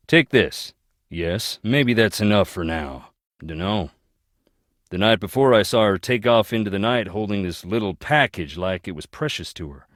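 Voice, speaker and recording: A brooding man from a troubled moneyed family, speaks low in a dry, close recording. He is a video game character who tells things plainly, with folksy turns, wry humor and bitterness. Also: a bit bright, no echo. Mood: grave, regretful.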